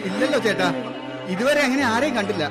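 An actor playing a rescue worker who calmly reassures a frightened father in a radio play. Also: very high-pitched, loud music behind the voice. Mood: reassuring. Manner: quick, reassuring.

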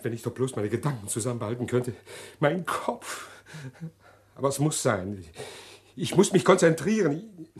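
A man's voice: mid-range voice, animated, moderate pace, close to an everyday voice. An actor playing a condemned male prisoner in a 1960s radio drama, agitated and stammering as he pleads to confess. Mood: desperate.

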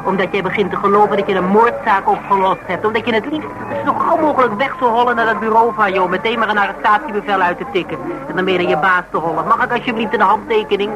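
A woman's voice: mid-range voice, fast, hardly any pauses, dull audio, natural voice. Actress as blunt, teasing Dutch woman. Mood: mocking, blunt. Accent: Netherlands Dutch. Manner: bantering, blunt, mocking. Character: sharp-witted, teasing woman, confidante of a police detective. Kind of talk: naturalistic radio drama dialogue.

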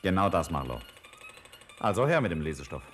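A man's voice: low-pitched, big pitch swings, a little fast, a bit bright, soft background music. A man playing a decisive, commanding lead scientist in a 1950s German radio drama. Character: male lead scientist, brisk, decisive organiser.

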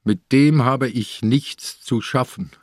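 An actor playing a sick, weak old police commissioner in a radio crime drama, terse and weary but stubbornly defiant. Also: male voice, mid-range voice, slow pace, no echo, clean studio sound, natural voice. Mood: guarded, defiant. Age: older.

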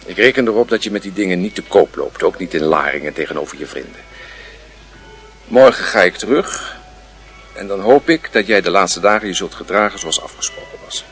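An actor playing a stern father in a Dutch radio play, questioning his son in a formal, measured way. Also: sits low, lively intonation, a bit bright, faint music behind. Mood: stern, grave.

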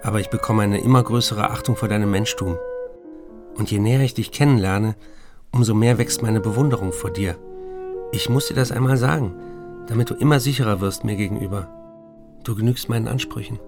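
Radio play role: affectionate letter-writing man played by an actor, formal, lowish voice, faint music behind. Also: brisk, lightly acted. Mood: tender. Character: affectionate male writer reading his love letter. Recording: close dry voice over music.

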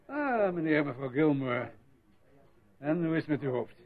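An actor playing a gossipy, grumbling village doctor in a radio play. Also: male voice, fairly high pitch, very animated, moderate pace. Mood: chatty, grumbling. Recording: muffled, phone-like lo-fi radio play.

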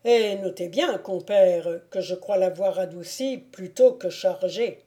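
A woman's voice: lowish voice, measured pace, mellow, close to an everyday voice. A woman voicing a courtly, self-assured male lord who spins tales, in a storybook reading.

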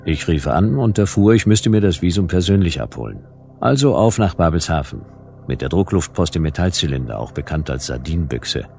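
A man's voice: rumbling low, vocal fry, a little mellow, heavily acted. A man playing a stubborn hard-boiled detective, narrating his case in a dry, laconic, wry way in a radio play.

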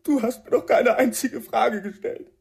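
A woman's voice: fairly high pitch, normal speed, gravelly, a little mellow. A woman playing a frail, desperate addict in a radio crime drama, plaintive and anguished.

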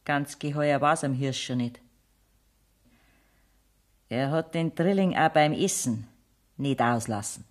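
A woman's voice: a bass voice, slow, long pauses. An actress playing a stubborn, plain-spoken woman giving insistent testimony in a 1970s radio play, with a Bavarian accent. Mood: matter-of-fact. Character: stubborn woman testifying in her loved one's defence.

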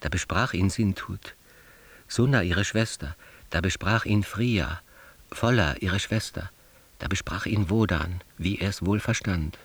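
A deep-toned man solemnly recites an incantation in a radio play. His phrases are slow and rhythmic, and they ring out in a long reverb. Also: husky, heavily acted. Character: solemn reciter of an ancient healing spell.

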